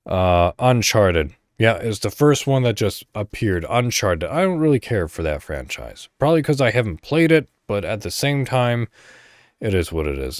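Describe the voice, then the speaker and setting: A man hosting a podcast solo, chatting casually and thinking out loud in a rambling way. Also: deep voice, dry recording, clean studio sound. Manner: rambling, thinking aloud, mild swearing. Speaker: podcast host.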